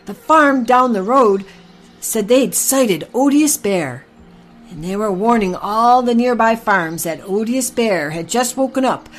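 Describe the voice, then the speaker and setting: A woman's voice: fairly high pitch, lively intonation, unhurried, pitched above the performer's own voice. A woman doing an earnest farmhand storyteller. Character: hardworking male farmhand telling a tale.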